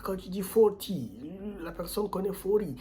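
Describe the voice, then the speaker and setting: A man hosting his own channel, giving earnest advice in a casual way, repeating key phrases and jumping up in pitch on stressed words. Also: moderate pace, dark tone. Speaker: YouTube channel host.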